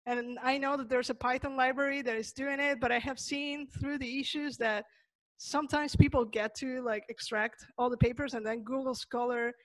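A young woman from Peru, a Spanish native speaker with a Peruvian accent, gives an online lecture over slides, pausing often with fillers and restarts. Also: sits high, steady tone, no room sound, low-quality recording. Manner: thoughtful, many fillers and restarts. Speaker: webinar presenter.

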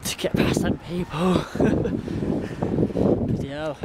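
A man's voice: on the high side, drawn-out words. A man in his twenties with an English accent, vlogging casually outdoors, rambling and informal as he talks to viewers. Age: 20s. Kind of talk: casual chat to viewers while cycling. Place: outdoors on suburban roads, riding a bicycle. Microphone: handheld camera at arm's length. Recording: very noisy outdoor wind and traffic.

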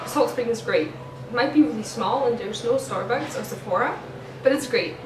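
A woman's voice: fairly high pitch, moderate pace, over music. Upbeat persuasive teen girl. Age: teens. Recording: roomy, distant camera mic.

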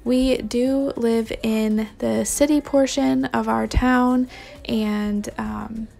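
A woman's voice: a little high, fairly even intonation, slow pace, gravelly, a little mellow. A young adult woman giving casual vlog narration as a homemaker. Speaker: homemaking vlogger.

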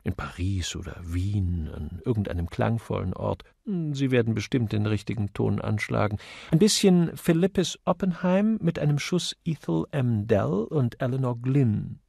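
A suave, witty gentleman detective read by a man in an audiobook. He speaks with urbane, playful wryness and a creaky edge, recorded very dry. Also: medium pitch, very animated, moderate pace, no room sound, full character voice. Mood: playful, instructing. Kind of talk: audiobook character dialogue.

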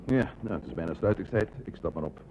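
A man's voice: deep voice, expressive, quick pace, muffled recording. A man in an old Dutch radio drama playing an easygoing, teasing hotel owner. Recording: noisy old radio play recording.

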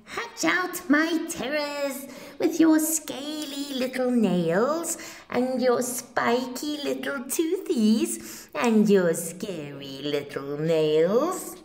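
Woman voicing a dramatic, high-pitched mother Tyrannosaurus. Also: lively intonation, drawn-out words, a little mellow, full character voice. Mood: gleeful menace. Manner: exclamatory, dramatic. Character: grumbling, easily horrified mother Tyrannosaurus, singing to her eggs. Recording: roomy home recording.

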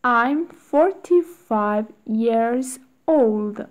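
A woman reading short English phrases aloud one at a time, with long pauses for learners to repeat. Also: on the high side, expressive. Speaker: English teacher. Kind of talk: slow read-aloud drill for learners.

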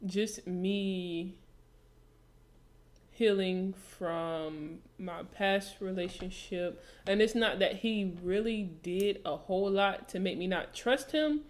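A young woman with a naturally deep voice talks casually into a studio microphone in a small room. Her phrasing is relaxed and drawn out, with sudden emphatic rises when she makes a point. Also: slow pace. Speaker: podcast co-host. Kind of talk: casual chat. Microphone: studio mic with headphones.

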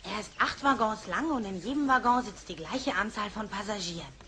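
A woman's voice: mid-range voice, brisk, bright, forceful tone, lightly acted. A woman playing a cheeky, sarcastic cartoon boy of ten, cocky and wisecracking. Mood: reciting.

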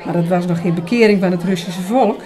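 A woman playing a sharp-minded, inquisitive companion in a radio drama, questioning and precise. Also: on the low side, a little fast, lightly acted. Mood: sceptical, curious. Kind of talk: Dutch radio drama dialogue. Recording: busy chatter behind the voice. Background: busy restaurant crowd.

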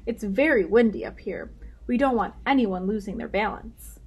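A woman's voice: mid-range voice, expressive, normal speed, slightly rough. A woman voicing a patient, protective father in a children's story, with faint noise in the recording. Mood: calm concern. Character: fatherly man, patient explainer, protective and anxious.

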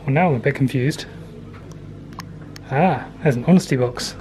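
A man's voice: medium pitch, moderate pace. A man in his thirties, vlogging casually into a handheld camera with dry, understated humour. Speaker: travel vlogger. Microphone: handheld camera at arm's length. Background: loud music.

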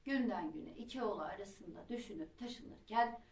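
A woman telling a children's folk tale in an expressive, dramatic traditional storytelling manner. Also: mid-range voice, normal speed. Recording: roomy echo, distant microphone.

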